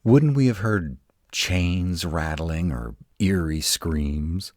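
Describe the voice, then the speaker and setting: A man playing a grumpy, protective were-dragon in a roleplay audio, gruff and exasperated, rattled but defiant. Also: sits low, animated, darkish tone, dry recording, studio quality. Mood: baffled, skeptical. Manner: gruff, exasperated, halting one-sided dialogue.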